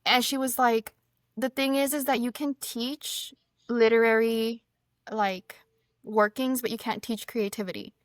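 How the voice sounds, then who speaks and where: A woman from Mexico, whose first language is Spanish, chatting as a guest author and retelling conversations in a lively, storytelling way. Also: fairly high pitch, unhurried, dry recording. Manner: chatty storyteller, quotes people often. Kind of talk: casual podcast chat.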